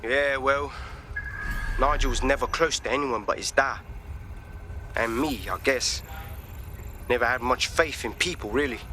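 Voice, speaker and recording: Bright-voiced, casual street-talking man. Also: mid-range voice, unhurried, long pauses, slight background noise. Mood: downbeat, offhand. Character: casual, street-talking male game character. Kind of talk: game voice acting.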